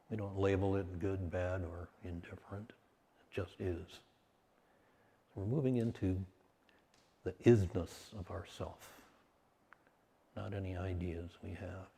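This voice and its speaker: A man with a low, dark-toned voice guides a meditation in a soothing manner. He speaks very slowly and leaves long pauses between short phrases. His words carry a long, hall-like reverb. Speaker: meditation teacher.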